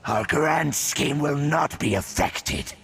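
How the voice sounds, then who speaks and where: Gloating, creaky abyssal villain. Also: male voice, on the high side, animated, slow, a bit bright, full character voice. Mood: scornful, smug. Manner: haughty, theatrical. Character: menacing, gloating male abyssal sorcerer monster. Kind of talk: video game voice acting.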